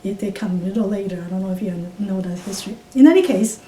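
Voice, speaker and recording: A woman in her fifties from Taiwan with a Taiwanese accent, speaking English as a second language and recalling her past in an oral history interview, halting with many fillers and restarts. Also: mellow. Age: 50s. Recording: roomy, distant mic with faint rumble.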